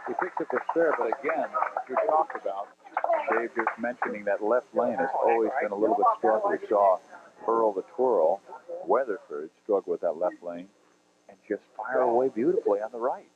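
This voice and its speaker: A man giving animated play-by-play on a televised bowling match, with bursts of excitement. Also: lowish voice, moderate pace. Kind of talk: TV sports commentary. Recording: dull lo-fi 1980s TV broadcast with faint hiss.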